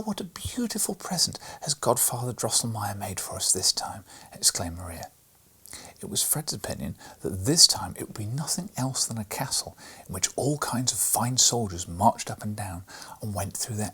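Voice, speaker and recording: A man reading a bedtime storybook aloud in a soft, hushed delivery with a breathy voice. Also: fairly low pitch. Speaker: storybook reader.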